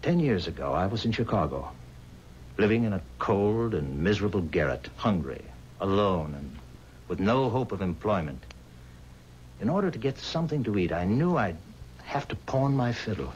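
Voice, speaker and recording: An actor playing a haunted, pleading musician bound to the devil, speaking earnestly and formally in dramatic 1970s radio style. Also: male voice, fairly low pitch, sing-song, normal speed, a little mellow. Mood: haunted, regretful. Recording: dull, hissy 1970s radio recording.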